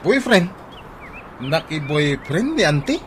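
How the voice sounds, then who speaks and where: A man's voice: fairly high pitch, sing-song, slow, fairly bright tone, exaggerated voice. A man playing a disapproving, scornful grown-up son in an Ilocano radio comedy drama. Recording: radio drama with clear background noise.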